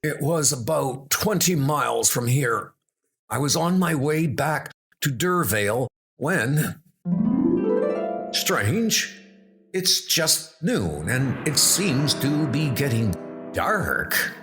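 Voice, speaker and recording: A man's voice: mid-range voice, big pitch swings, slow, music in the background, voice pushed up. A man voicing a wounded male scientist, weak from blood loss, telling his story in an earnest, wondering way. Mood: urgent. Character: wounded male scientist, shaken but determined.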